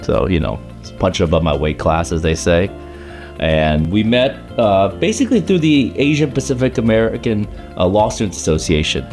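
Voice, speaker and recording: A man in his thirties or early forties from South Carolina, born in China, reminiscing in a conversational interview with halting, thoughtful phrasing. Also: fairly low pitch, big pitch swings, darkish tone, faint music behind. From grew up in South Carolina, born in China. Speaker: law school alumnus, interviewee.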